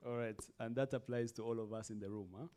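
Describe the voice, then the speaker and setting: A man's voice: medium pitch, fairly even intonation, fast, warm tone. A man moderating a workshop panel, thanking the speakers and putting questions to them with a few fillers.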